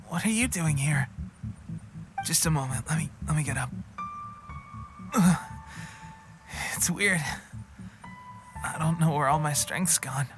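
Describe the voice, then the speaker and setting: An actor playing an earnest, proud young soldier who is worn out and weak, in dramatic video game style. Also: male voice, on the high side. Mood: weak, confused. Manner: halting, casual, bravely cheerful. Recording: music playing behind.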